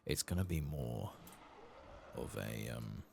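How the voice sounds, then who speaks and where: Male, extremely low voice with heavy fry, soft and hushed ASMR game commentary, musing and unhurried. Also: animated, noisy background. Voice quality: soft, hushed, breathy at times. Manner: unhurried, musing, murmuring to himself while playing. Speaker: gaming video creator.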